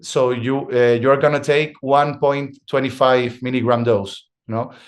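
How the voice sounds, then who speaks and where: A young man with a Spanish accent, speaking English that is not his first language in a mid-pitched voice. He talks haltingly, restarting phrases and pausing to search for words as he tells his story in an interview. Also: fairly even intonation. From Spain. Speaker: guest. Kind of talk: conversational interview.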